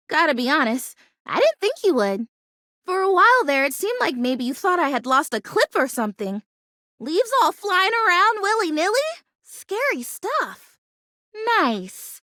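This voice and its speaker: An actress voicing a kooky, touchy but hopeful plastic houseplant in a video game, with perky, dramatic delivery and folksy exclamations. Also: female voice, very high voice, fairly bright tone, no echo, studio quality, full character voice. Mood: hurt, awkward. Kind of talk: video game character voice acting.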